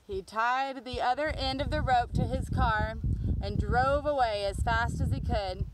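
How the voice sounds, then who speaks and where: A woman's voice: fairly high pitch, unhurried, background noise. A woman reading a picture book aloud expressively for young children. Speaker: children's storybook reader.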